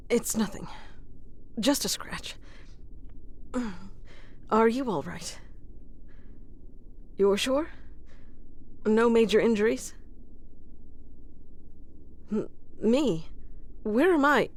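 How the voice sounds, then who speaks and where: A woman's voice: on the high side, expressive, extremely slow, long pauses. A woman playing a wry, composed, battle-worn ruler in a scripted fantasy audio roleplay, with formal, teasing, dry delivery. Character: wry, composed female ruler of a fantasy kingdom, wounded ex-fighter.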